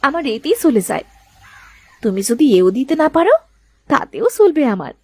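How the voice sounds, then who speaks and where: Woman acting a sharp-tongued, animated village wife. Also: high-pitched, moderate pace, no room sound. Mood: devoted. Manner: sarcastic, scolding. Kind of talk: Bengali moral-story cartoon dubbing.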